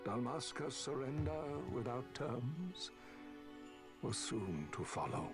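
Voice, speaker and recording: A man's voice: medium pitch, very animated, slow pace, music in the background. An actor playing a solemn older nobleman in a video game, giving formal, stately narration and oratory. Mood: grave, stately. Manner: grave, stately, declamatory.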